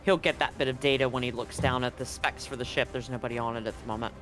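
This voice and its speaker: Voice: mid-range voice, steady tone, fast talker, over music. A game master narrating a tabletop roleplaying game in an informal, sweary way.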